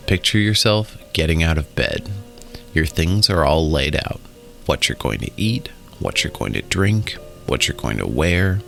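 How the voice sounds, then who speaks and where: A man narrating a guided relaxation in a soothing, instructional way, in short phrases with pauses. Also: rumbling low, animated, creaky, a bit bright. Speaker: guided meditation host. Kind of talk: guided meditation and hypnosis narration. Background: music.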